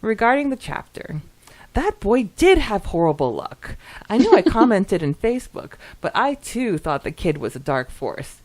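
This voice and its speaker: A woman chats casually as a podcast co-host. Her mid-pitched voice swings widely and expressively, with a giggly, talkative manner that carries into the comments she reads aloud. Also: normal speed. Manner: giggly, animated, chatty. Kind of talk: casual chat, reading out listener comments.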